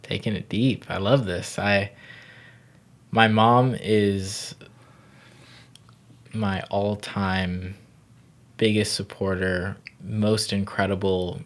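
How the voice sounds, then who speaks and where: Man, 30s, from Barbados; very low, even delivery, calm and reflective interview guest. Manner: unhurried, thoughtful, frequent "you know". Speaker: yoga teacher and wellness guest.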